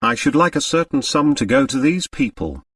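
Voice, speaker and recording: Man voicing a quiet, gently firm woman. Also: medium pitch, expressive, normal speed, dry recording, studio quality, distant mic. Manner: polite, understated, resolute.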